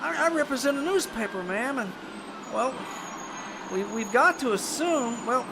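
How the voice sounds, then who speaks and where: Male actor as pushy reporter, halting, apologetic yet insistent, old-radio drama, noisy live recording. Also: very high voice, lively intonation, gravelly, heavily acted. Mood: insistent, defensive. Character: pushy but uneasy newspaper reporter.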